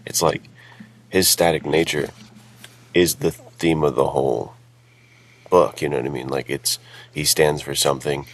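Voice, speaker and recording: A man chatting casually as a podcast co-host, with a gravelly voice. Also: a bass voice, steady tone, unhurried, pauses often. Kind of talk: casual chat. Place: outdoors while on vacation. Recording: music behind, rough sound quality.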